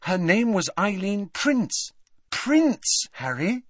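Man voicing a bossy high-pitched teenage girl. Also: very animated, slow, fairly bright tone, no echo, studio quality, full character voice. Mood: firm, insistent. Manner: insistent, emphatic, argumentative.

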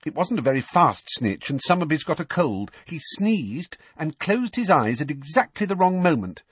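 A man's voice: a little high, big pitch swings, moderate pace, husky, dry recording, voice pushed up. A man voicing a plucky, matter-of-fact younger teenage girl in an audiobook, offhand and confident.

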